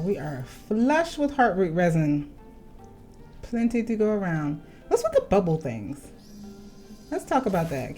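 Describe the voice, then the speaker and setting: A woman's voice: medium pitch, warm tone. A woman casually talking through a video game as she plays, chatty and playful. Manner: chatty, playful, reads game text aloud. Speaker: gaming video host. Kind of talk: casual gameplay commentary. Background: faint game music.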